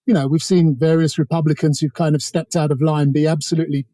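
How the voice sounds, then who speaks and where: A man's voice: a little high, little pitch movement, fast, mellow, no echo. A man with a British accent giving conversational political commentary as an interview guest, talking discursively with many asides. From Britain.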